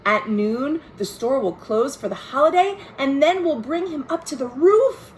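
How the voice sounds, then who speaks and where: A woman's voice: high voice, expressive, a little slow, a little hiss or hum. Woman reading as an earnest, hopeful American girl, very melodic. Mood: joyful. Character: earnest, hopeful young girl writing letters home. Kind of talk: expressive storybook letter reading.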